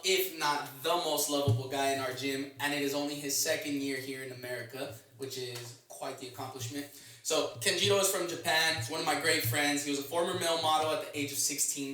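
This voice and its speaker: A man's voice: fairly high pitch, bright, forceful tone. A male podcast host with an upbeat, chummy way of talking, introducing and questioning his guest. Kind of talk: casual podcast interview. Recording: very echoey room, distant from mic, faint noise.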